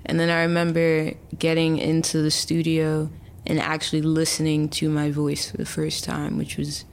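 A woman's voice: low-pitched, monotone, moderate pace. A woman in her early twenties, a chatty interview guest who talks casually with many fillers and restarts. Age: early 20s. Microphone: remote call.

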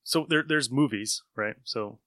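Bright-voiced man chatting, close dry sound. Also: medium pitch, measured pace, no room sound. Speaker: podcast co-host. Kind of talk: casual chat about old radio shows.

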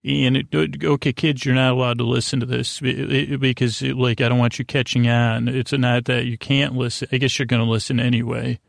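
A man with a creaky, gravelly voice hosts a sleep podcast, recorded very dry. He rambles in a halting way, circling around his thoughts and leaving sentences unfinished. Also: mid-range voice, flat delivery, no room sound. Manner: meandering, halting, often trailing off mid-thought. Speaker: podcast host. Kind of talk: rambling bedtime podcast monologue. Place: podcast studio.

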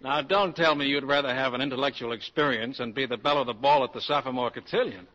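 An actor playing a friendly college professor in a 1940s radio comedy, with a hearty, teasing manner. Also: male voice, mid-range voice, fairly even intonation, fast, fairly bright tone, natural voice. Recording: dull vintage 1940s radio broadcast.

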